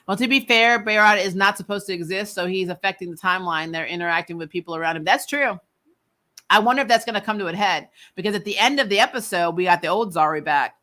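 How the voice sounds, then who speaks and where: A host chatting casually and reacting to viewer comments in an animated, giggly way. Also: mid-range voice, quick pace. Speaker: show host. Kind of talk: casual chat reading and answering live comments.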